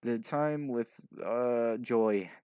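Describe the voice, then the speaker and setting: A man's voice: mid-range voice, very slow, darkish tone, smooth, dry recording, studio quality. A man reading a story aloud casually, stumbling over sentences as he narrates. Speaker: fanfiction reader.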